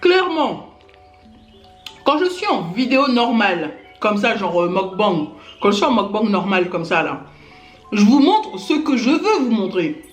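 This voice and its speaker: A woman's voice: mid-range voice, very animated, unhurried. A Gabon-born woman YouTuber ranting indignantly to her camera, speaking straight at her critics and filling her talk with little tags. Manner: indignant, addresses critics directly, many fillers like "hein" and "genre". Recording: echoey room with faint music.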